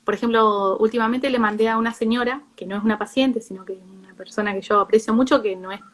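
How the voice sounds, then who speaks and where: A woman psychologist with an Argentine accent, explaining informally in a live talk with a halting, self-rephrasing delivery. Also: on the high side, steady tone. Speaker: psychologist, guest speaker.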